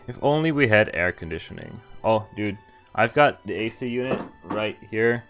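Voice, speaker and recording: Low male voice, young adult, game streamer, casual joking commentary, read-aloud story narration, music playing behind. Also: lively intonation, moderate pace, a bit bright. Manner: casual, jokey, hesitant asides. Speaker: streamer playing and reading a visual novel.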